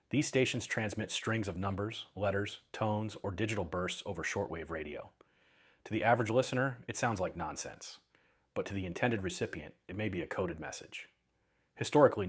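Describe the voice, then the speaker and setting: A man with a low-pitched, husky voice reads scripted explainer narration. His phrasing is deliberate and measured, broken into short clauses that each get their own weight. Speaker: narrator of an intelligence and radio technology explainer.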